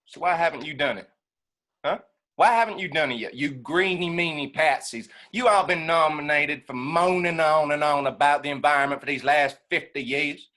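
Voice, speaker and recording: Actor as a blustering, sharp-edged military hawk. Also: male voice, fairly high pitch, normal speed. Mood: scornful taunting. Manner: scornful, folksy, taunting. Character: blustering, combative military man who scorns environmentalists. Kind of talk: scripted stage-style dialogue.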